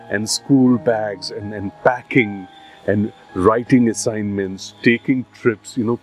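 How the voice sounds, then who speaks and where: A man with an Indian accent hosting a series, speaking to his audience in a deliberate, emphatic storyteller's delivery. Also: fairly low pitch. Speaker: series host and presenter. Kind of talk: scripted presentation to an audience. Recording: soft music behind. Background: soft plucked guitar music.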